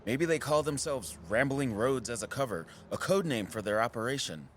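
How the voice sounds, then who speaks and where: An actor playing a keen, suspicious amateur sleuth in a casual, excitable way in an audio drama. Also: male voice, medium pitch, moderate pace, slight background noise, natural voice. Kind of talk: scripted audio drama dialogue.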